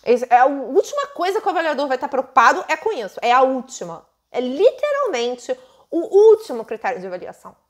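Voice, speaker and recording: A Brazilian Portuguese woman teaching English test prep at home into her own microphone, lively and full of rhetorical questions. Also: high-pitched. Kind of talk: casual teaching monologue to camera.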